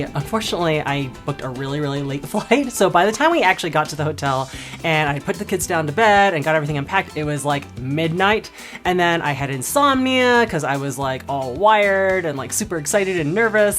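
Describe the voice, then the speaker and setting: A woman in her thirties narrating a casual vlog in a chatty, upbeat way, laughing often. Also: low-pitched. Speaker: vlogger.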